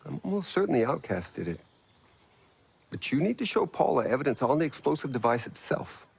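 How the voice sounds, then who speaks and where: A man's voice: fairly high pitch, very animated, brisk, creaky, husky, full character voice. An actor playing a brilliant male engineer in a video game cutscene, earnest and pressing as he explains. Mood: urgent.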